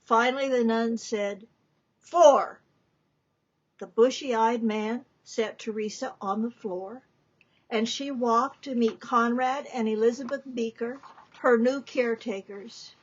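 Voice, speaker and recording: A woman giving a dramatic reading of a story, deliberate and theatrical, with emphatic pauses. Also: a little high, steady tone, muffled recording. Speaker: author reading from own book.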